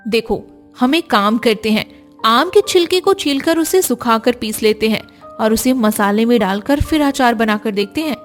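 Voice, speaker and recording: Woman voicing a high-pitched, polite cartoon housewife. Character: earnest, polite young housewife in a family cartoon. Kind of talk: Hindi moral cartoon story acting.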